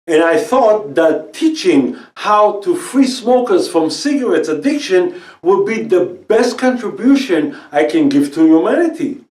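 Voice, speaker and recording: A man in his fifties from Israel, a native Hebrew speaker, giving an earnest scripted pitch about quitting smoking in short phrases. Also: sing-song, a little slow, hardly any pauses, a little mellow, reverberant, recorded from a distance. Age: 50s. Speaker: natural healing expert and quit-smoking coach.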